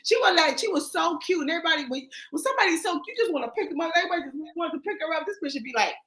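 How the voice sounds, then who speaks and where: High bright lady host, loud and cussing a lot, swings all over. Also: rapid-fire. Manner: loud, profane, animated storytelling. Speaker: podcast host. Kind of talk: casual chat.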